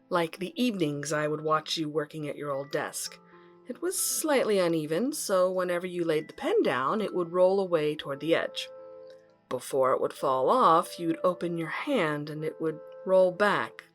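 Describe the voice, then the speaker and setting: Woman as wistful prof narrating to her love, lowish voice, tender n reflective, music behind. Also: close to an everyday voice. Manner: measured, intimate, reflective. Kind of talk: dramatic first-person narration. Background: music under the voice.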